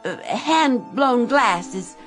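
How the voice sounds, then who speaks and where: A meek, needy woman in a radio drama. She speaks slowly in a highish voice, faltering and apologetic. Faint music sits behind her. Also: expressive. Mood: timidly hopeful. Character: timid, needy, grateful woman selling junk.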